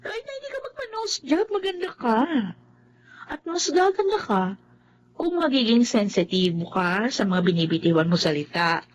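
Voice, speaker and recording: An earnest young friend who talks in an even, gentle voice. She is calm and honest, in a television drama. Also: on the high side, moderate pace.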